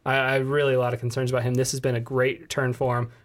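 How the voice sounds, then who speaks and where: A man's voice: mid-range voice, level tone, fast. A man co-hosting a casual sports podcast, talking in a loose, slangy, rambling way. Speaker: podcast co-host.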